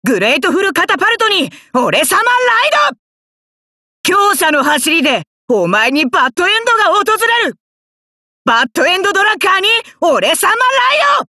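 An actor voicing a cocky, hot-blooded young male fighter in an anime card game, rough-talking and arrogant, with emphatic battle cries. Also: very high voice, very animated, normal speed, bright, forceful tone, no echo, studio quality, exaggerated voice. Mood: fierce, triumphant.

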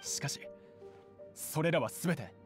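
An actor playing an earnest young male clan heir in an anime game, speaking politely, formally and deliberately. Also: a little high, over music. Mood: earnest, accusing. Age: young adult. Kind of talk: anime game character acting.

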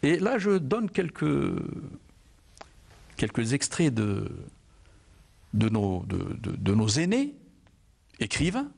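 Older man with a gravelly husky voice. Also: mid-range voice, huge pitch swings, slow pace, pauses often, darkish tone. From Algeria. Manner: reflective, repeats words while searching. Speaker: author and jurist, guest. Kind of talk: studio interview. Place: TV studio set, indoors.

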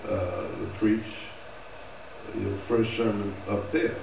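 A man's voice: lowish voice, fairly even intonation, takes it slowly, creaky, noisy background. A middle-aged man, a pastor, telling a story casually from the pulpit, laughing and dropping amens as he goes. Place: small church sanctuary, indoors. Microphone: podium mic at a distance. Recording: echoey church room, distant camera mic, dull.

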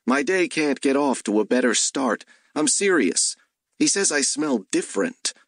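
A male narrator plays an exasperated detective in an urban fantasy audiobook. His mid-pitched voice is sarcastic and indignant, recorded dry and close. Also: big pitch swings, unhurried, no room sound. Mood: wry.

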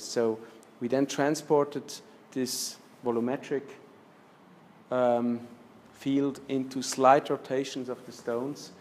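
A man in his fifties presenting slides in a lecture room, speaking with many restarts and fillers, trailing off softly at the ends of phrases. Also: mid-range voice, a little hiss or hum. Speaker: architecture lecturer. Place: lecture room with projector screen.

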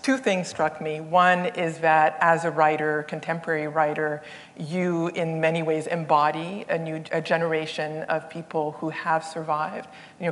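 A woman from Haiti, raised in Canada, hosts a conversation on stage. She speaks thoughtfully and haltingly, with many fillers. Her voice is very low, and it has a long reverb from a large hall. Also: a bit bright. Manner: thoughtful, hesitant, frequent restarts and fillers. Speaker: event host and interviewer. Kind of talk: onstage conversation. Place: theater stage, seated in armchair.

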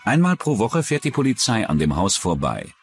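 Male documentary narrator, low register, animated melody, scripted true-crime narration, soft music underneath. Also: normal speed.

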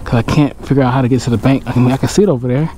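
A man's voice: medium pitch, fairly even intonation, rapid-fire, dark tone, a little hiss or hum. A man in his forties talking casually to viewers while hiking a trail, chatty and jokey, calling them y'all. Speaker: fishing vlogger. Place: outdoors on sandy trails in scrubland. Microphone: chest-mounted action camera.

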